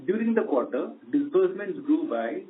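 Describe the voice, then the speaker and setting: A man's voice: fairly high pitch, big pitch swings, moderate pace. A man with an Indian accent formally reading out a scripted corporate earnings presentation as a company executive on a conference call. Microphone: conference call line. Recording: tinny narrowband conference phone line.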